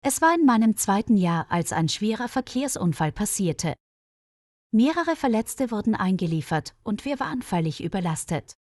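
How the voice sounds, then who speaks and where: Woman voicing an earnest, calm male nurse. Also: mid-range voice, normal speed, dry recording, studio quality. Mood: earnest, reflective. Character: dedicated, modest, experienced male nurse.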